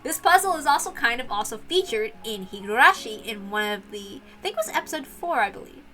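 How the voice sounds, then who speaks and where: A woman's voice: a little high, big pitch swings, brisk, bright, forceful tone, faint music behind. A woman narrating a visual novel as a playthrough host, with casual asides.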